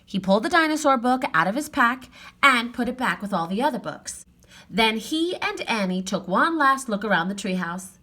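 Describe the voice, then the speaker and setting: A woman narrating a children's story aloud in an expressive read-aloud style. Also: a little high, moderate pace.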